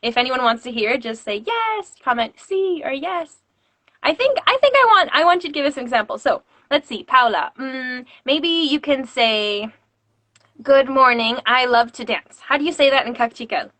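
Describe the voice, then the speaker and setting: High, bright-voiced encouraging ballet teacher. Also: female voice, expressive, normal speed, no room sound. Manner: warm, encouraging, laughs often. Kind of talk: live-streamed interview.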